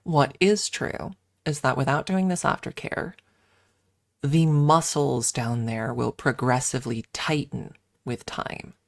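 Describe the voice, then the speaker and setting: Low-pitched woman, slow creaky delivery. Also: steady tone, dry recording, studio quality. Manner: rambling, candid and explanatory. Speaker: livestream host and ASMR streamer. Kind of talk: casual ASMR stream chatter. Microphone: close microphone.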